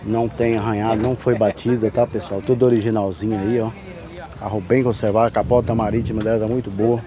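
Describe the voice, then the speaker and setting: A man from Brazil giving a folksy, chatty sales pitch while filming cars at an outdoor car fair on a handheld camera. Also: level tone, fast talker, a crowd in the background. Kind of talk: walkaround sales vlog. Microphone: handheld camera mic, filming.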